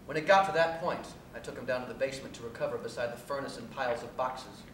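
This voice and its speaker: A man performs a story for a live stage reading, recorded at a distance in a very reverberant space with faint noise beneath. His voice sits a little high, and he tells the story in a deliberate, measured way. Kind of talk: live dramatic reading of a first-person story. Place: theatre stage at a live cabaret, recorded from afar.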